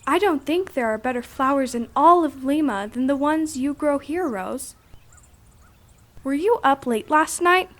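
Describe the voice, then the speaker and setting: A curious twelve-year-old girl, acted by a woman, speaks in a very high voice. She is an admiring, earnest friend in a religious audio drama. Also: lively intonation, measured pace, fairly bright tone. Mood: admiring, gentle.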